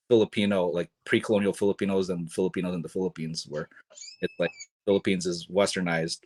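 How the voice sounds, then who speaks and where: Very deep voiced guy talking slow and thoughtful on a podcast, lots of um and you know. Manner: thoughtful, many fillers and restarts. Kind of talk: casual podcast conversation.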